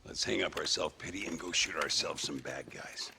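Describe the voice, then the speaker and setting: An actor playing a weary, wry old gunslinger in a video game, with a gravelly voice and an American Western drawl. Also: male voice, very deep voice, moderate pace, noisy background. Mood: dry, joking. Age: older. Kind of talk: video game cutscene acting.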